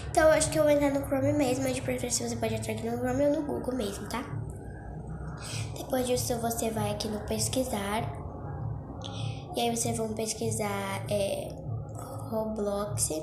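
Chatty young Brazilian woman, high voice. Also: fairly even intonation, normal speed, long pauses, background noise. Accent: Brazilian Portuguese. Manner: chatty, keeps saying "gente" and "tá?". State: a bit congested from a cold. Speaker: Roblox tutorial youtuber. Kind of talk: casual screen-recorded tutorial. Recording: echoey room with music behind.

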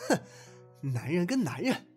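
Casting: haughty, touchy young gentleman played by a male actor, husky edge, very animated delivery. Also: high-pitched, measured pace, faint music behind, exaggerated voice. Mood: indignant, scornful. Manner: haughty, archaic turns of phrase. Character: proud, petulant young nobleman, easily offended. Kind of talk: audio drama acting.

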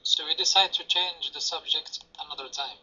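Earnest guy arguing his point on voice chat, keeps saying okay, bright voice, lo-fi sound. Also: a little high, moderate pace, husky. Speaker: debate guest. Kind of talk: online debate. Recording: lo-fi voice chat audio.

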